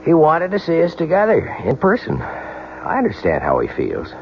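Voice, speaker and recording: An actor playing a calm, patient husband in a radio drama, gently reasoning and reassuring. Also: male voice, a little high, moderate pace. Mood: soothing. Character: calm, patient young husband soothing his wife. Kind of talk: 1970s radio drama acting. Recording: muffled old radio broadcast, faint hum.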